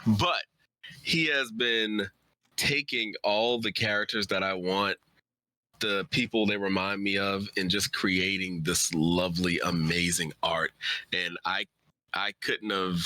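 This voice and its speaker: Man, lowish pitch, crisp bright edge, dry close mic, casual stream conversation, easygoing. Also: steady tone, takes it slowly, no echo, rough recording. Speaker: writer and streamer, co-presenter.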